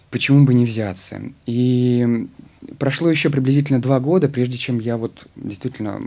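A man's voice: fairly low pitch, dark tone. A man in his thirties, an actor interviewed in a studio, talking at length with many hesitations. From Russia. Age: 30s. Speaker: guest actor. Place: studio with logo backdrop. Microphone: studio mic on stand.

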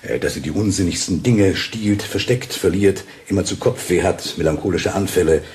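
An actor playing a cold, domineering Victorian husband in a German radio crime drama, deliberate and commanding. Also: male voice, sits low, a little mellow, lightly acted. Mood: coldly insistent.

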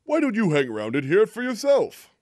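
A man's voice: high voice, wildly swooping pitch, fast talker, a little mellow, no room sound, clean studio sound. Man playing an exuberant, swooping police detective. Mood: hearty. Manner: theatrical, hearty. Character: exuberant, self-important male police detective. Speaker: fan dub voice actor. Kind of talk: animated video game fan dub.

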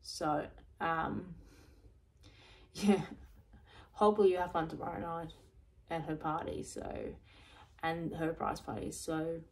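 A woman talking in a rambling personal vlog, repeating herself often, with a voice going croaky. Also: low voice, little pitch movement, slow pace, darkish tone, low-quality recording. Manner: repetitive, loose, restarts and repeats herself often. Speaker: vlogger.

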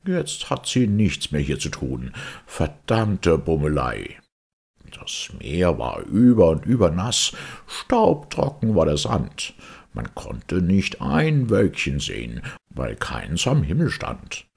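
Deep gravelly guy reading a storybook, super animated, theatrical delivery. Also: slow. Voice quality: husky, gravelly. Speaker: audiobook reader. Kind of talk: expressive storybook narration of verse and prose.

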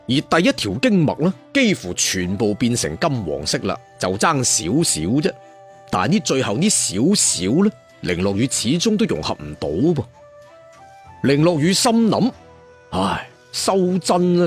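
A male storyteller speaks with a Hong Kong Cantonese accent and a husky voice. His pitch swings in a lively way as he narrates dramatically, with chatty asides to the listener. Music plays faintly behind him. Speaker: audiobook storyteller.

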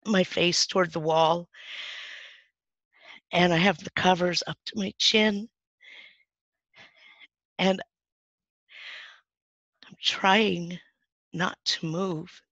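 A woman plays a scared young girl telling her own story in a dramatic monologue, with many halts and restarts. The voice is slow and gravelly with vocal fry. It is recorded dry and sounds dull and lo-fi. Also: medium pitch, steady tone, a bit bright, no echo. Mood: frightened. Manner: halting, hesitant telling with restarts. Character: frightened young girl hiding in her bed. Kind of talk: first-person dramatic monologue.

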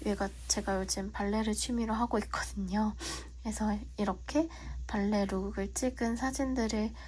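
A young woman with a cold and a husky, slightly whispery voice, politely walking viewers through a planner in a relaxed vlog with frequent fillers. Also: medium pitch, a little hiss or hum, poor audio. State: has a cold. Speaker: vlogger. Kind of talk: casual tutorial walkthrough. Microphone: phone.

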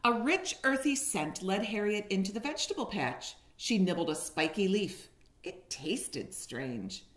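Woman reading a storybook to kindergartners, takes it real slow, expressive teacher delivery, bit echoey room on a webcam. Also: medium pitch. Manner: expressive storytelling with questions to young listeners. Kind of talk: picture-book read-aloud for young children. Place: living room at home, indoors. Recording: roomy echo on a home webcam.

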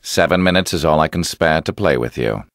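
A man's voice: a bass voice, animated, a little fast, no echo, clean studio sound. An actor playing a smug, condescending villain in a video game, mocking his victim. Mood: smug mockery.

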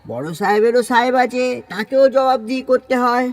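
A man's voice: very high-pitched, normal speed. A man playing a respectful jeep driver in an audio story, reasoning deferentially with his superior. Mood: respectful, persuading. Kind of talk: Bengali audio story dramatization.

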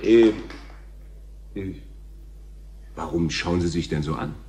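An actor playing a stammering, self-important and patronizing television talk show host in a radio play. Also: male voice, low voice, takes it slowly, a little mellow, slight background noise. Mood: flustered. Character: flustered, smug male TV talk show host. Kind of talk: German radio play acting.

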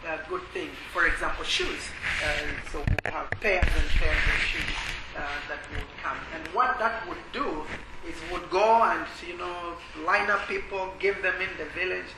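A man from Malawi tells his story at a church workshop, his voice pitched fairly high and pausing often on filler sounds. The room around him rings with echo. A steady noise sits under the talk. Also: gravelly. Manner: hesitant, many filler sounds. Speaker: ministry worker. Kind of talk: workshop testimony. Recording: echoey room with background noise.

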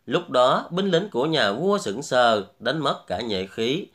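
Male storyteller for scripted fairy tales, medium-pitched, very lively intonation. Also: a little slow. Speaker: storyteller, host. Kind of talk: scripted fairy-tale narration.

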